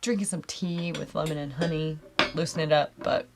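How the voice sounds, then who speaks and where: A woman co-hosting a casual live show, slightly hoarse as she loses her voice, chatty and reading out viewer messages. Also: low voice, steady tone, moderate pace, very noisy. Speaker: co-host.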